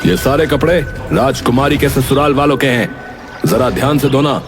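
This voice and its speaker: A man's voice: mid-range voice, steady tone, moderate pace, noisy background, natural voice. Man as a commanding cartoon palace official. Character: commanding palace official and king in a fairy tale. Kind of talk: Hindi animated moral-story voice acting.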